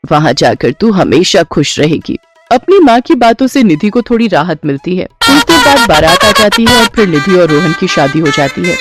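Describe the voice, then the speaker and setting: A man's voice: high voice, big pitch swings, moderate pace, few pauses. A man narrating a scripted moral story, with music playing behind him. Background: background music.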